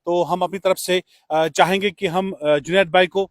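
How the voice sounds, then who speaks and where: A man's voice: high-pitched, steady tone, normal speed, no echo, studio quality. A man speaking formally and courteously as a radio host giving a tribute and interview on location. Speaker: radio host presenting an appreciation award.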